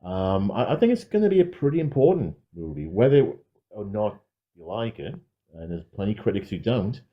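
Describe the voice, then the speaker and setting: A man co-hosting a podcast, giving his film review in a casual, measured and considered way. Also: fairly low pitch, very animated, highs cut off.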